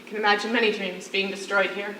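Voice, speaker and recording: A stage actress playing a playful, witty imprisoned singer with a teasing, dramatic manner. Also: female voice, mid-range voice, level tone, fast talker, pushed and bright, far from the mic, lightly acted. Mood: curious. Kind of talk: theatrical stage acting. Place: on a stage in a hall. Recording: echoey theatre stage, faint noise.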